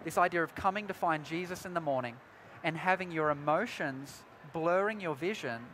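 A man's voice: on the high side, moderate pace, fairly bright tone, slight background noise. A young man co-hosting a live youth Bible discussion, reflective and story-driven, repeating points for emphasis. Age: young adult. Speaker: co-host of a youth Bible panel. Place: large indoor convention hall stage with crowd. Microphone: headset mic.

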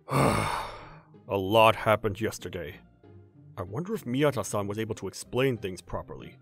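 An actor playing a polite, flustered teenage schoolboy in a manga dub, earnest and prone to stammering. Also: male voice, fairly low pitch, expressive, a little slow, gravelly, fairly bright tone, faint music behind, heavily acted. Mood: musing. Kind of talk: manga dub voice acting, scripted.

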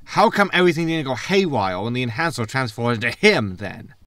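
An actor playing a sarcastic, greedy spaceship captain with a wry manner in a sci-fi comedy audio drama. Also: male voice, medium pitch, big pitch swings, fairly quick, a bit bright, no room sound, clean studio sound. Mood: sceptical, wry.

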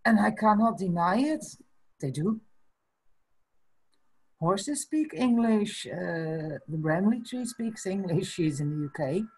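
A Dutch woman in her fifties whose first language is Frisian, talking slowly and thoughtfully in a casual chat over a webcam from a small room. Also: mid-range voice, lively intonation, a little mellow, breathy edge, rough recording. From Netherlands. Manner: slow and thoughtful, breaks into small laughs. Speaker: guest. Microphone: video call webcam.

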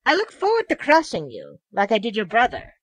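A man's voice: very high voice, huge pitch swings, fairly quick, no echo, voice pushed up, exaggerated voice. A man voicing a smug, mocking racing rival in a narrated story, with a theatrical, sneering manner. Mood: smug menace. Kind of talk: acted character in narrated fan story.

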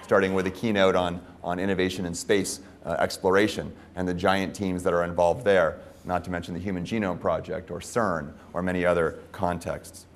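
A man in his forties opening a conference panel as moderator, speaking in a measured, articulate way on a lapel mic. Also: sits low, little pitch movement. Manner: measured, articulate, with frequent "uh" fillers. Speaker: moderator, professor. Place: conference stage.

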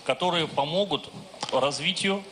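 A Russian man in his forties addressing an audience in short, halting phrases through a handheld microphone, pausing for an interpreter. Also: a little high, a bit bright. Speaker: invited guest speaker. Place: large hall with audience.

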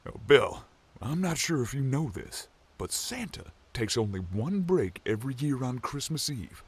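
Man playing a hearty, animated giant lumberjack. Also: mid-range voice, normal speed, slightly rough. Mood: earnest, hopeful. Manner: hearty, folksy, theatrical. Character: Paul Bunyan, giant boastful hearty lumberjack. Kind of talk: audio drama acting.

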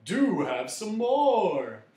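A man's voice: sits high, big pitch swings, unhurried, recorded from a distance, voice pushed up. A man voicing an insistent, impatient magician of mathematics in a storybook, emphatic and matter-of-fact. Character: insistent, impatient male mathematician-magician, a blithely logical host. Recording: echoey room.

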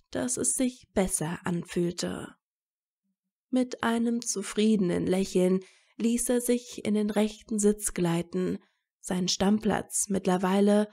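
Casting: female story narrator, mellow and relaxed, unhurried measured read of scripted fiction. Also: mid-range voice.

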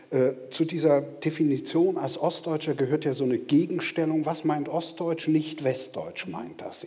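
A middle-aged man speaks as a panel guest on a theater stage. His voice is mellow and dark, and his delivery is measured and articulate. The long reverb of a large hall follows his words. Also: on the high side. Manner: measured, articulate, occasional fillers.

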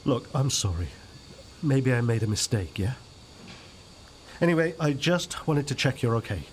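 A man's voice: medium pitch, big pitch swings, moderate pace, a little hiss or hum, lightly acted. An actor playing a well-meaning, hesitant male friend in a radio soap, speaking tentatively and apologetically. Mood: apologetic.

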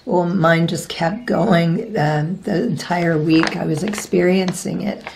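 A woman in her forties with a slightly raspy voice talks casually to camera as a reiki practitioner, rambling and often pausing to search for words. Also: on the low side, fairly even intonation, background noise. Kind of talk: casual spiritual vlog talk. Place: indoors, virtual blossom backdrop.

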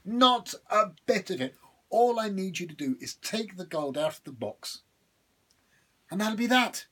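A man voicing a small male devil who is trapped in a box, pleading and shouting in a high voice. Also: expressive, measured pace, exaggerated voice. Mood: wheedling. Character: shrill trapped male devil, wheedling and frantic.

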